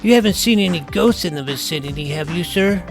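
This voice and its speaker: A man playing an earnest, self-important boy detective, narrating a comic mystery in a deadpan, precise way. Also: high voice, a little fast, gravelly, over music. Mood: eager, inquisitive. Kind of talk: first-person scripted narration of a comic mystery.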